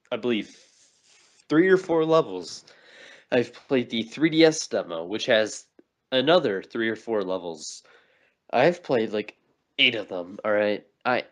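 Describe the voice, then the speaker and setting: A young man co-hosting a casual podcast chat, insistent and argumentative, who keeps telling his friend to listen. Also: fairly low pitch. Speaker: podcast co-host. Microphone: remote call mic.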